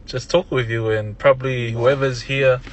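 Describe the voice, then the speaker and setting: Young Tongan man, easygoing podcast guest, mid-pitched voice, chuckles between thoughts. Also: fairly even intonation, normal speed, slight background noise, poor audio. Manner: laid-back, laughs often, many restarts. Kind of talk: casual interview chat.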